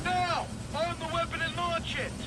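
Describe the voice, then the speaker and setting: An actor playing an eager, loyal young American resistance pilot in a video game, folksy and earnest. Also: male voice, very high-pitched, steady tone, measured pace, heavy background noise. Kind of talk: video game cutscene acting.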